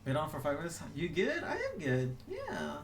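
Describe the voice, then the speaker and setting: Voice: medium pitch, wildly swooping pitch, normal speed, creaky. A young adult streamer chatting casually while drawing, rambling with lots of "like" and self-corrections. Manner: rambling, full of "like" and restarts. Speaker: streamer reading chat. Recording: roomy room echo.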